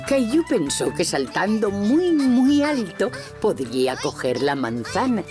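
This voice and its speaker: A woman's voice: mid-range voice, big pitch swings, slow pace, music in the background. A woman with a Castilian Spanish accent narrating a scripted children's story.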